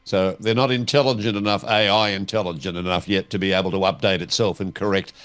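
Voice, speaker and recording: A man interviewing as a host, putting deliberate, inquisitive questions in a conversational style. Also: deep voice, steady tone, fairly bright tone.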